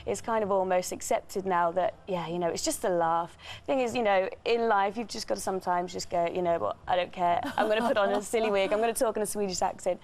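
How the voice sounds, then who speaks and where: A woman's voice: medium pitch, fairly even intonation, fast talker, hardly any pauses, a little mellow. A young woman in a TV studio interview, chatty and bubbly, with lots of fillers. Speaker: tribute band singer. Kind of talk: live TV interview guest. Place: TV news studio.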